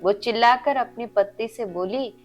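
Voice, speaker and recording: A woman with a high voice narrates an inspirational story as its host and storyteller. Her delivery is scripted, earnest and instructive. Soft music plays faintly behind her. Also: normal speed. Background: faint background music.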